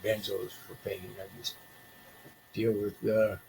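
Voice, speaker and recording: A man's voice: lowish voice, flat delivery, measured pace, gravelly, a little mellow, slight background noise, poor audio. A seventy-two-year-old man in recovery talking plainly about his health in an interview. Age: 70s. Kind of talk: recorded interview testimony.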